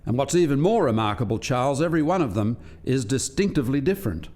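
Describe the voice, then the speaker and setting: An actor playing an articulate alien scientist in a radio play, explaining things precisely as if lecturing a visitor. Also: male voice, medium pitch, lively intonation, fast, a little mellow, lightly acted. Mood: explanatory.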